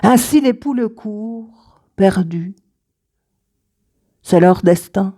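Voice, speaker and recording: A woman reading her own poems aloud in a measured, deliberate way, with dramatic pauses between short phrases. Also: mid-range voice, dark tone. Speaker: poet reading own work. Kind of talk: slow recited poetry reading.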